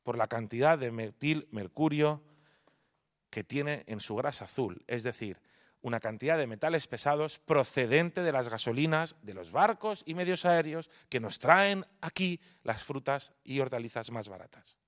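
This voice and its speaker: A man from Spain gives a nutrition lecture to a live audience, pressing his points with rhetorical questions. His voice sits at an ordinary middle pitch for a man. He answers questions in a more relaxed, chatty way. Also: moderate pace. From Spain (Palma de Mallorca). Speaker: nutrition speaker at a public event.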